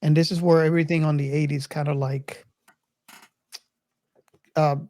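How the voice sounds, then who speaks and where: Female podcast host, middle-aged, low register, halting reflective delivery, casual movie talk, dry sound. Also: little pitch movement, mellow, no echo. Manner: reflective, halting, circles back over phrases. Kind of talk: casual film discussion.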